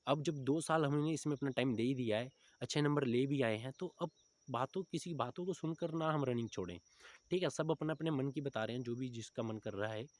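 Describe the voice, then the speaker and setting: A man of about thirty talks at a brisk, rapid pace in a medium-pitched voice. He speaks informally, like a coach advising students, and keeps checking in with small tags as he explains. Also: a little mellow. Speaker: exam coaching teacher giving advice to recruitment candidates. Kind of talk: informal motivational advice talk to viewers.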